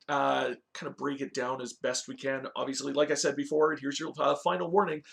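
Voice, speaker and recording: Gravelly-voiced man hosting a casual review. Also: on the high side. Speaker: host of a video game movie review show. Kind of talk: casual review talk with story recap.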